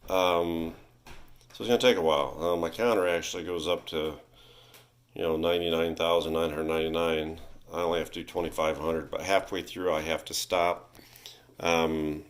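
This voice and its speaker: A man casually walking through a repair at his home workbench, thinking aloud with frequent pauses and ums, recorded on a phone on a tripod. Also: sits low, little pitch movement, clear, resonant tone. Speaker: vintage electronics repair host. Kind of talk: casual workshop walkthrough. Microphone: phone camera on a tripod.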